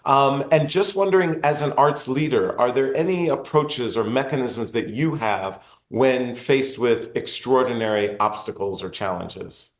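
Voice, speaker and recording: A man's voice: mid-range voice, unhurried, hardly any pauses. A man hosting an interview, asking long, earnest, winding questions. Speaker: podcast host, interviewer. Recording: roomy echo.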